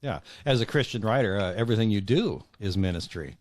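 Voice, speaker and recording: A middle-aged man with a deep, low voice, recorded dry and close. He speaks earnestly as an interview guest, halting and repeating words as he works out his answers. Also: expressive, no echo.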